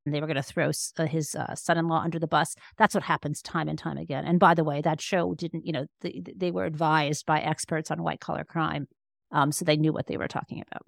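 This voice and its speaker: A woman's voice: sits low, little pitch movement, quick pace, vocal fry, no echo, studio quality. A woman answering as an interview guest in a conversational, explanatory way, restarting phrases as she thinks. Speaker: interview guest, law professor and author.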